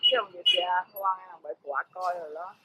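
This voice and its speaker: Man, high voice, very slow and halting, distant outdoor recording, noisy street. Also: steady tone. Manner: halting, full of pauses and fillers. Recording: distant mic with audible background noise.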